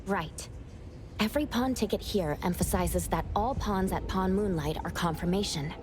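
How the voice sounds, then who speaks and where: A voice actress playing a composed, analytical young female investigator in a video game, reasoning aloud in a measured, serious way. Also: medium pitch, background noise, natural voice. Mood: explaining. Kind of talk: video game character acting.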